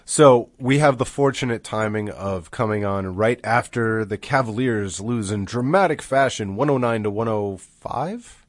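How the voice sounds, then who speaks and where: Deep-voiced man hosting a podcast. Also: a little slow, no echo, clean studio sound. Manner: rambling, conversational. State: recovering from illness, deep voice. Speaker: podcast host. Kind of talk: casual sports chat.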